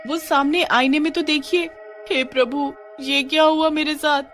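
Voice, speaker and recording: A woman's voice: sits high, fairly even intonation, a little slow, a bit bright, faint music behind, pitched above the performer's own voice. A woman voicing an indignant, distressed young village wife in a cartoon horror story, with dramatic, complaining delivery. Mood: alarmed. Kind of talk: acted cartoon dialogue.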